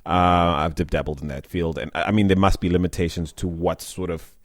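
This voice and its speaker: A man's voice: sits low, little pitch movement, brisk, no echo, studio quality. A man hosting an interview, asking questions in a bantering, jokey way. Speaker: podcast host, interviewer.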